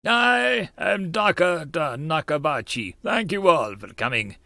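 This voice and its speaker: A man's voice: fairly high pitch, sing-song, slow pace, vocal fry, sharp tone, dry recording, full character voice. A man voicing a pompous, irritable male inventor with a self-important, declamatory manner, in a visual novel reading. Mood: confident, grand.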